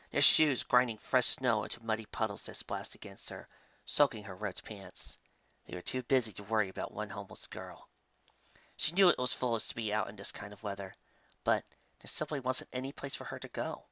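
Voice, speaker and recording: Male narrator for dramatic fiction, bright forceful tone, dry slightly dull recording. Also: fairly low pitch, gravelly, no echo. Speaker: fan fiction reader. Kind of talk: dramatic scripted story narration.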